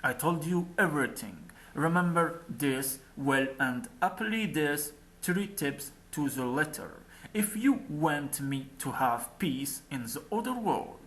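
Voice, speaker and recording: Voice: mid-range voice, animated, slow pace, a bit bright, poor audio. A storyteller voicing a wise, dying old merchant father in a folk tale, solemn and instructing. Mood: solemn, urging.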